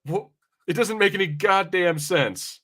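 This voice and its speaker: A man's voice: sits high, measured pace, a bit bright. A young adult man in casual podcast banter, brash and teasing, swearing freely as he talks. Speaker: podcast co-host. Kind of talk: casual watch-party banter.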